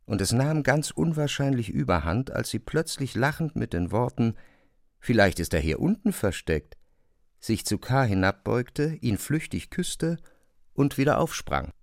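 Male narrator, lowish pitch, gravelly, measured, serious, dry close studio sound, literary narration. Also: big pitch swings, no room sound.

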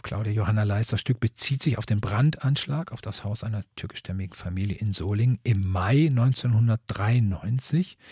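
Husky, lowish male host. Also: a little mellow, no room sound, studio quality. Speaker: host, interviewer. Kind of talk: formal prepared moderation.

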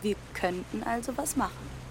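A woman's voice: fairly high pitch, normal speed, lightly acted. An actress playing a cheeky, dreamy teenage runaway girl in a radio play, pert and unabashed. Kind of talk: radio play acting and first-person narration. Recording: loud engine and water noise behind.

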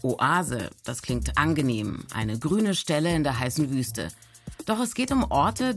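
A narrator reads a scripted explainer with a mid-pitched, lively voice. Soft music plays faintly underneath. The delivery is steady and explanatory.

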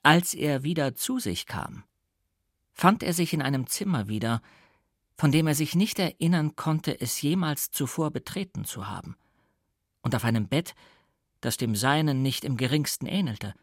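A man's voice: a little high, animated, dry recording. Dramatic male audiobook narrator, close and dry. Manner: theatrical, deliberate, emphatic.

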